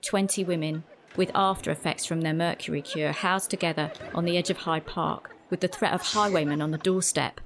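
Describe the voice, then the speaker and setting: A woman's voice: lowish voice, steady tone, fairly quick, few pauses, noisy background, natural voice. A young woman playing a defiant, streetwise pregnant woman of eighteenth-century London in an audio drama, speaking and narrating frankly. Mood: reflective. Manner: frank, plain-spoken. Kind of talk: audio drama dialogue and first-person narration.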